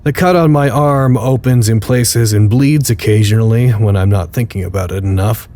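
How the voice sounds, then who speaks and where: A man's voice: on the low side, moderate pace, mellow, lightly acted. A man playing a weary, dutiful researcher who records formal, articulate status logs with dry wit in an audio drama. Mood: weary, grave. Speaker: narrator. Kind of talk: audio drama log narration.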